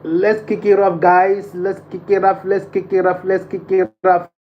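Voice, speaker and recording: A man's voice: high voice, flat delivery, a little slow. A man from Nigeria with a Nigerian accent hosting a casual livestream, addressing viewers and repeating his phrases insistently. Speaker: live stream host. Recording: dull lo-fi live stream, background noise.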